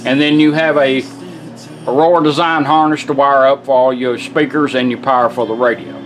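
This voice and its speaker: A man's voice: medium pitch, flat delivery, a little fast, poor audio. A man giving an informal, hands-on product demonstration in a casual, folksy way. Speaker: radio restorer presenting a product. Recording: music playing behind the voice. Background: music from the demonstrated car radio.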